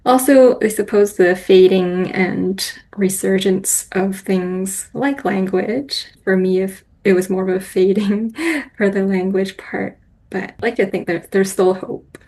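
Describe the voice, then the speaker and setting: A woman poet, a guest on the show, speaking candidly and reflectively, with soft laughs between her thoughts. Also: mid-range voice, unhurried. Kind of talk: casual reflective conversation.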